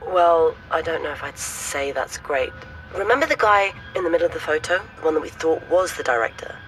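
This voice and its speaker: Bright-voiced, cautious woman researcher. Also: low-pitched, animated, fairly quick, low-quality recording. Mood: brisk, concerned. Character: brisk, cautious woman researcher reporting findings. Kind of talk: audio drama phone conversation.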